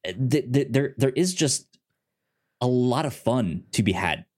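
A man's voice: medium pitch, animated, unhurried, darkish tone. A man co-hosting a casual film discussion, stammering and repeating words as he works through his thoughts. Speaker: podcast co-host.

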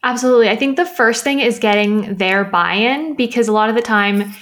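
A woman's voice: a little high, brisk, a bit bright. A woman guest on a podcast, a business founder, talking in a chatty way with lots of "like". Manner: chatty, heavy use of "like". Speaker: social media business founder. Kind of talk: casual podcast interview.

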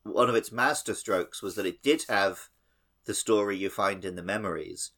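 A man co-hosts a casual gaming podcast. His voice sits a little low, and he talks in a conversational way. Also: normal speed, fairly bright tone. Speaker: podcast host.

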